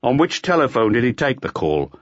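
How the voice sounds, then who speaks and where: A man acts out a glum, unruffled police detective in an audiobook. He gives terse questions and orders with lively pitch movement, in a dry recording that is slightly dull. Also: a little fast, no echo, close to an everyday voice. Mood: glum, businesslike.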